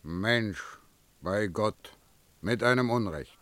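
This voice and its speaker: A man's voice: fairly low pitch, slow, a bit bright, no echo, studio quality. An actor playing a grave, fatalistic man condemned to die in a German radio play, answering in terse, weighty verse. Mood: grim, resigned.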